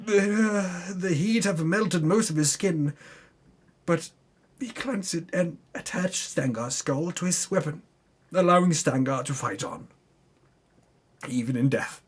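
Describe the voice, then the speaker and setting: A man giving a dramatic, mock-epic narration of a fantasy campaign recap, grandiose in delivery with dry, tongue-in-cheek asides. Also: on the high side. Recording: music under the voice. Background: background music.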